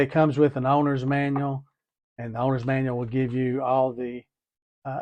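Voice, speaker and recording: A man's voice: medium pitch, a little mellow, dry recording, dull audio. A man hosting a DIY tutorial, narrating product setup step by step in a plain, methodical, instructional way. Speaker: DIY video host.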